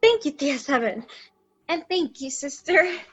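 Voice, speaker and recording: An actress playing a sweet, excitable young niece, gushing and affectionate, in a radio drama. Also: female voice, sits high, moderate pace. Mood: excited, affectionate.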